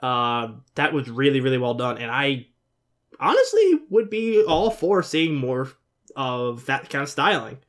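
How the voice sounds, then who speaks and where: A man's voice: mid-range voice, huge pitch swings, measured pace. A man in his twenties giving casual, rambling, informal off-the-cuff commentary as a reaction video host. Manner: rambling, informal, mild swearing. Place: bedroom, indoors.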